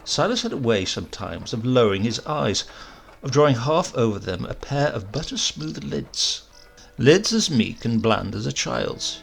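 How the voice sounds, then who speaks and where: A man narrating a comic story as an audiobook reader, expressive and animated. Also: medium pitch, normal speed, vocal fry, husky, faint music behind.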